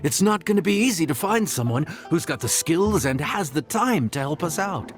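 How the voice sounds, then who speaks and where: An actor playing a proud, stubborn inn chef in a video game, flustered and defensive, with hesitant stammers. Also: male voice, on the high side, lively intonation, normal speed, natural voice. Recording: music playing behind the voice.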